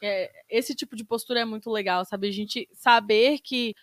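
Young Brazilian woman, guest on a casual talk, earnest and emphatic, slightly high voice, dry close sound. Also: steady tone, moderate pace, no echo. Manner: earnest, emphatic, many fillers. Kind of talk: casual chat.

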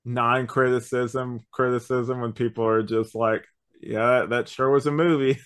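A man speaks at a middle pitch in a casual podcast chat. He is opinionated and rambles through his points in loose, slangy language, like a co-host reviewing with a friend. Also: level tone, unhurried, a bit bright, smooth.

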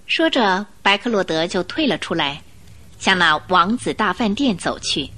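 A woman narrating a radio drama, reading a scripted story in an expressive, dramatic storytelling manner. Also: mid-range voice, moderate pace.

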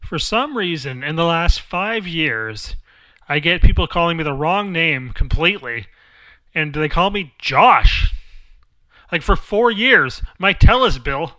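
A man's voice: on the high side, animated, measured pace, sharp tone, no echo, low-quality recording. A man co-hosting a casual podcast, easygoing and good-humored. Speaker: podcast co-host.

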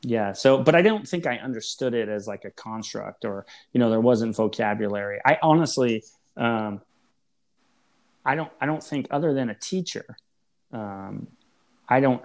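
A man's voice: on the low side, moderate pace. Thoughtful man explaining like a therapist. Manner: thoughtful, explanatory, many hedging fillers. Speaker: therapist guest. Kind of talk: reflective conversation.

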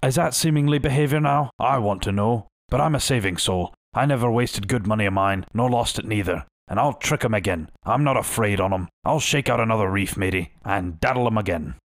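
A man voicing a sick, rum-craving old sea captain who talks in rough sailor's dialect, weak-voiced but hearty. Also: fairly low pitch, fairly quick, hardly any pauses, no room sound, clean studio sound, natural voice. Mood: blustering.

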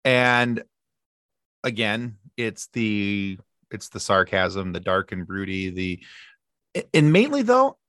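A man's voice: on the low side, slow pace, dry recording. Sarcastic man in his thirties, dry sound. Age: 30s. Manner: sarcastic, animated, with frequent restarts. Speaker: podcast guest. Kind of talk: casual chat.

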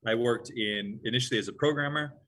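A man from Hawaii, a guest in an interview, answering in a calm, measured, reflective way. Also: mid-range voice.

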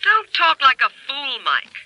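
A woman's voice: sits high, huge pitch swings, unhurried, lo-fi sound, full character voice. An actress playing a haughty, scornful woman in an old radio detective drama. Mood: incredulous, angry. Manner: sharp, scornful. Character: cool, haughty scheming female client, incredulous. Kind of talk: 1940s-50s American radio crime drama acting.

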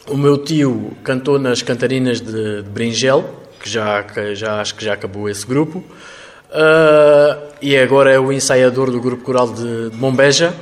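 A young man, answering an interview haltingly as a choir leader. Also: mid-range voice. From Ferreira do Alentejo, Portugal. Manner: halting, with fillers and restarts.

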